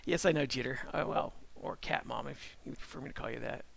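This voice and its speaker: A middle-aged man chatting casually on a live stream while he draws, thinking aloud in a calm voice with halting restarts. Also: medium pitch, gravelly. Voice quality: calm-sounding voice. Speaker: streamer and animator.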